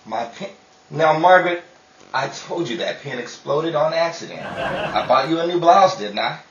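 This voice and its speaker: A man's voice: on the high side, lively intonation, moderate pace, natural voice. A man playing a grumpy, sarcastic, unromantic homebody husband in a 1930s-style radio drama, with dry exasperation. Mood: exasperated. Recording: dull, roomy, distant, with faint noise.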